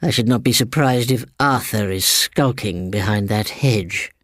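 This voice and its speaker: A man's voice: lowish voice, unhurried, no room sound, studio quality, natural voice. A man voicing an imperious, condescending elderly English aunt in a story reading, with trenchant, precise speech. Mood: probing, scornful.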